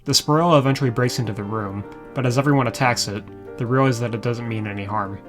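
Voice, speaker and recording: A man's voice: medium pitch, fast. Guy doing a sarcastic episode recap, regular mid voice, music goin behind him. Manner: wry, sarcastic. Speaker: video reviewer and narrator. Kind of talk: episode recap narration with wry asides. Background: background music.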